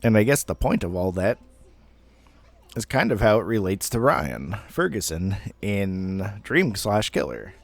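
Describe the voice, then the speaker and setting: Low-voiced man telling a rambling story. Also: very animated, dry recording. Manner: rambling, halting, profane. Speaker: amateur storyteller. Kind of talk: casual personal storytelling monologue.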